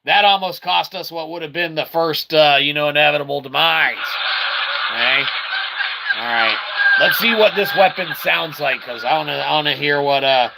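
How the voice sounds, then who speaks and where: A man's voice: fairly high pitch, normal speed, gravelly, poor audio. A man giving casual, chatty let's-play commentary as a game streamer, rambling along and tagging his remarks with "okay" and "all right". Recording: loud game music behind the voice. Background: video game music.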